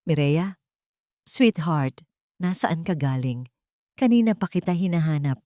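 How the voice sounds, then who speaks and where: A woman's voice: deep voice, expressive, a little slow, dark tone, dry recording, studio quality. A woman voicing a deceitful male fiancé in an audio romance, sweet-talking and questioning. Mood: falsely sweet.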